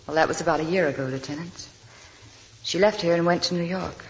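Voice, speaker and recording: An actress playing a wounded, weary young wife of a convicted killer, in 1940s radio drama style. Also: female voice, lowish voice, a little fast, lightly acted, low-quality recording. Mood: sorrowful recollection. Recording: vintage radio broadcast, music underneath.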